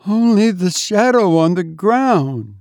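Guy doing a scared stiff maid woman, high gaspy faint voice, hesitant, storybook reading. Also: lively intonation, takes it slowly, a little mellow, no echo, full character voice. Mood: fearful. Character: terrified housemaid, a woman, faint with horror.

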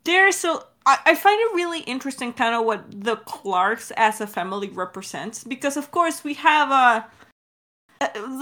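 A woman with a fairly high, bright and forceful voice talks casually about creative work. She is effusive but halting, circling toward her point and swearing freely along the way. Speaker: podcast creator answering questions. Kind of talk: casual behind-the-scenes discussion.

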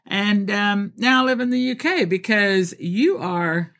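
A man's voice: sits high, expressive, a little slow, creaky, sharp tone. A man born in Texas and raised in Colombia co-hosts a podcast with his spouse, chatting casually with frequent laughs and fillers. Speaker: podcast co-host.

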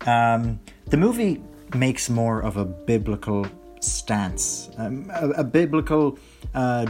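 Hoarse man chatting casually. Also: lowish voice. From Ireland. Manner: rambling, self-correcting, chatty. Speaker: podcast host. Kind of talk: casual solo podcast chat.

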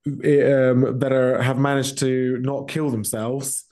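Reflective British man, halting delivery. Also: mid-range voice, level tone, mellow. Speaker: musician, interview guest. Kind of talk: candid podcast interview.

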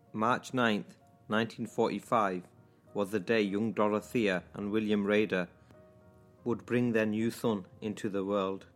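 A man with a Manchester accent, co-hosting a podcast in casual chat and then reading a true-crime story, with a hesitant manner full of ums and restarts. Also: fairly low pitch. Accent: Mancunian. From England. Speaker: podcast co-host.

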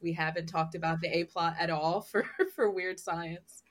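A woman's voice: lowish voice, steady tone, moderate pace. A woman hosting a podcast in casual, informal chat with a giggly manner. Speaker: podcast host.

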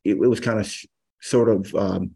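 A man in his fifties, a guest on a podcast, telling stories in a relaxed, rambling way with many fillers. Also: lowish voice, normal speed, creaky, husky. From Los Angeles area, USA. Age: 50s. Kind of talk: casual storytelling interview.

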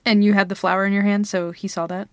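A woman's voice: mid-range voice, level tone, quick pace, dry recording, lightly acted. A young woman playing a flustered girl smitten with her best friend in an intimate ASMR roleplay, hesitant, stammering and giggly. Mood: excited, relieved. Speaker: audio roleplay performer. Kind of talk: ASMR girlfriend roleplay, intimate one-sided dialogue.

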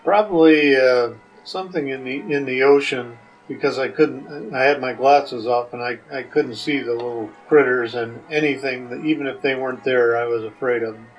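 An older man answering casual podcast questions in a plain-spoken, halting way. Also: mid-range voice, far from the mic, highs cut off, faint music behind.

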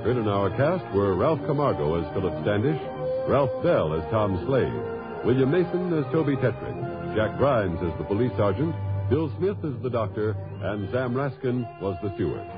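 A man's voice: lowish voice, very animated, moderate pace. A man with an American accent announcing credits in the formal style of mid-century radio. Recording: dull old radio recording over loud music.

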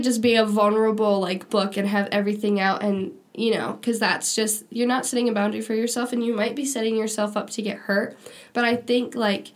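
A young woman co-hosting a casual faith-based podcast, sounding nasal from a cold and talking in a chatty way full of "like" and "um". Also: mid-range voice. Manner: chatty, lots of "like" and "um". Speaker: podcast co-host.

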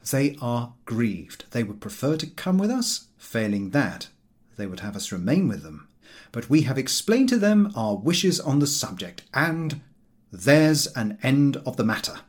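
Man acting a pompous, lecturing married gentleman. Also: mid-range voice, very animated, unhurried. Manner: declamatory, pompous. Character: pompous, self-assured married man lecturing his friends.

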